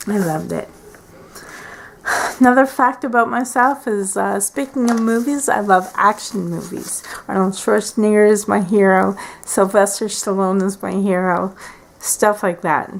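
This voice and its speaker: Slow, gravelly-voiced woman in her forties. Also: mid-range voice, poor audio. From Canada. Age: 40s. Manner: hesitant, many fillers and restarts. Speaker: beauty vlogger. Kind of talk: casual tag video chat. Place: at home by a window.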